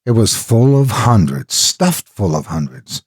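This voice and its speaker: A man's voice: lowish voice, big pitch swings, measured pace, breathy edge. A man narrating scripted horror stories dramatically as host, close to the microphone.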